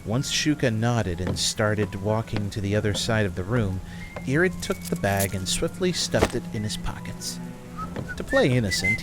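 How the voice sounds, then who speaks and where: A man's voice: lowish voice, poor audio. A man reading scripted narration for a fantasy audio drama.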